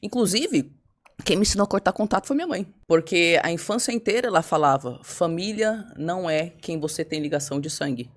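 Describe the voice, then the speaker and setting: Woman, podcast guest. Quick, candid storyteller who acts out her own quotes. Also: on the low side. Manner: fast, animated, acts out her own past words.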